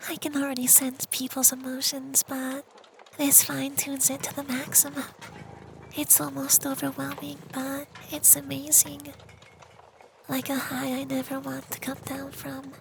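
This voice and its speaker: Someone doing a soothing femboy vampire for asmr, breathy half whisper, really even and unhurried. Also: high-pitched, background noise. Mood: blissful, soothing. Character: gentle femboy vampire who senses emotions, soothing, wistful. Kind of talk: ASMR roleplay.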